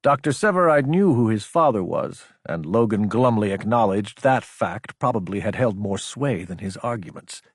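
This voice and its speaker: Husky male audiobook narrator, dry sound. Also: lowish voice, lively intonation, normal speed, few pauses, no room sound. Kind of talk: scripted dramatic fiction narration.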